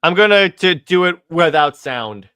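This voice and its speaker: A man's voice: fairly high pitch, animated, measured pace, bright, forceful tone, clean tone. A man hosting a casual livestream discussion, often stumbling and stuttering over his words. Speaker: podcast host.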